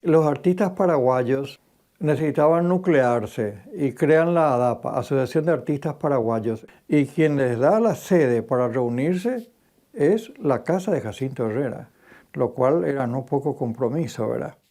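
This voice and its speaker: A male interviewee explains in a conversational way. His voice is mellow and dark, sitting at a medium pitch. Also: a little slow.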